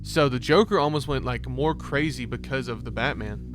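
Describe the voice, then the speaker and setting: A man's voice: medium pitch, normal speed. Guy doing a casual reaction video, slangy and exclamatory, music playing behind him. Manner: slangy, exclamatory, thinking aloud. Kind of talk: casual reaction commentary, reading on-screen text aloud.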